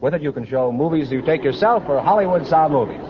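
High-voiced American radio announcer man. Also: lively intonation, fast. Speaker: quiz show announcer. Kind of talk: announcing on old-time radio. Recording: dull, noisy old radio recording.

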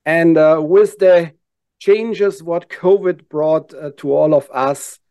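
A man from Germany with a German accent, calmly describing his career as a podcast guest, with frequent "uh" fillers. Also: a little high, slow, no echo. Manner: steady and methodical, frequent "uh" fillers. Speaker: guest, founder. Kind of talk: interview answers.

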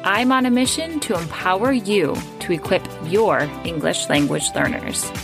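A woman's voice: medium pitch, lively intonation, normal speed, vocal fry, music in the background. A woman hosting an education podcast, reading a scripted intro in an upbeat, promotional way. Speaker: podcast host.

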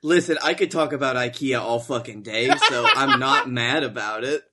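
A man's voice: mid-range voice, moderate pace, heavy background noise. A man co-hosting a podcast in casual, comedic conversation. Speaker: podcast co-host.

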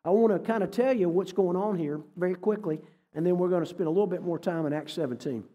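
A man's voice: sits high, fast talker, mellow. Folksy man in an echoey hall. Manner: folksy, conversational storytelling with quoted dialogue. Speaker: guest speaker. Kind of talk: storytelling sermon. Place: church hall.

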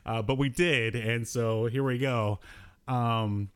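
A man's voice: mid-range voice, steady tone, takes it slowly, clean tone. A man co-hosting a podcast, chatting casually about cartoons in a rambling way with bits of slangy talk. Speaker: podcast co-host.

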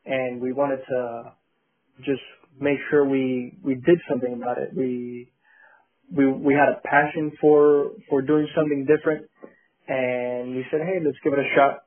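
Halting man on a tinny line. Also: medium pitch, level tone. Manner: halting, many fillers and restarts. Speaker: company co-founder, guest. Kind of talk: casual interview.

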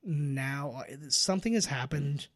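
A man's voice: on the high side, expressive, measured pace. A man co-hosting a podcast with casual film commentary, rambling and joking with plenty of swearing. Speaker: podcast co-host.

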